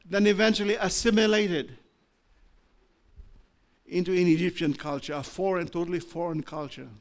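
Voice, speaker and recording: Gravelly Australian preacher, rising to fervour. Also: male voice, fairly even intonation. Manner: builds from low, measured talk to loud, impassioned preaching. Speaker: pastor. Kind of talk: preaching a sermon.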